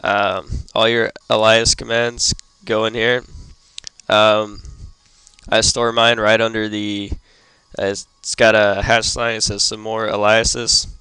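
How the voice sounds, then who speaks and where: A young man who is sick and losing his voice, hosting a casual computer tutorial and stopping often with ums and throat clearing. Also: on the low side, level tone, takes it slowly, sharp tone, dry recording, rough recording. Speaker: tutorial host. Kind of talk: casual screen-recorded tutorial.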